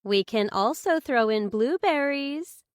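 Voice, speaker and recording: A woman voicing a kind middle-aged mother in an audiobook, gentle, reassuring and persuasive. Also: high-pitched, expressive, a little slow, no room sound, studio quality, voice pushed up. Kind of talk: audiobook character dialogue.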